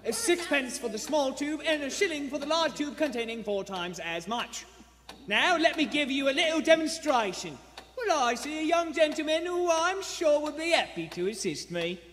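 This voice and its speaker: Brash, high-pitched pitchman. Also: expressive, moderate pace, a bit bright, a little hiss or hum. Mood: grand sales pitch. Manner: showy patter, theatrical, bossy. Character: brash, pushy street salesman and bus conductor. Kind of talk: live radio play acting. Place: theater stage before a live audience. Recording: live theater hall, from stage.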